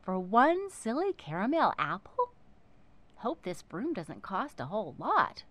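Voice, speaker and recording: A woman's voice: medium pitch, huge pitch swings, a little slow, fairly bright tone, exaggerated voice. A woman voicing an ill-tempered, easily flustered storybook witch in an exclamatory, fretful way. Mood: indignant.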